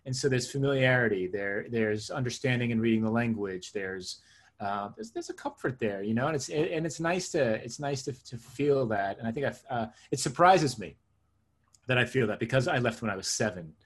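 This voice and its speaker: A middle-aged man whose first language is Hebrew speaks in a mid-range, somewhat husky voice. He sounds like a thoughtful interview guest, working through ideas conversationally and restating phrases to stress them. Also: mid-range voice, expressive, moderate pace. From born in Israel, raised in suburban New Jersey. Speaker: interview guest, tech consultant and coach.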